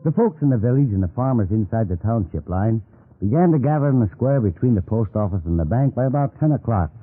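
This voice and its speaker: An actor playing a folksy small-town storyteller, narrating a scripted radio story. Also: male voice, medium pitch, expressive, quick pace, slightly rough. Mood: calm, descriptive. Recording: tinny old radio broadcast, faint music.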